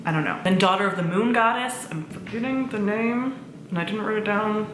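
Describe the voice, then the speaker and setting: A woman with a bright, forward voice chats informally in the manner of a vlogger. She sounds enthusiastic and conversational, with a mid-range pitch. The recording has a roomy echo and faint background noise. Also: brisk. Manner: enthusiastic, informal with swearing and frequent "like". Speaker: book vlogger, presenter of own channel. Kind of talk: casual chatty vlog talk to camera.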